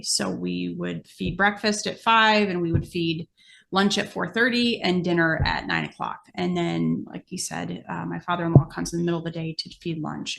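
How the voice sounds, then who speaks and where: A middle-aged woman with an American accent talks in a fairly low voice with a lot of creak. Her delivery is conversational and plain-spoken, laying things out step by step as in a casual podcast. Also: fairly bright tone. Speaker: podcast guest, amateur horse exhibitor. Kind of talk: casual explanatory podcast chat.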